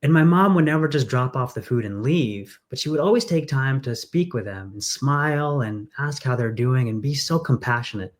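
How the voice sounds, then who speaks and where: A man talking through an interview in an earnest, storytelling way, often pausing on "uh" as he goes. His voice sits at an ordinary middle pitch for a man. He grew up in England. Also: animated. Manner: earnest, storytelling, frequent fillers. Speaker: congressional candidate. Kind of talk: conversational interview.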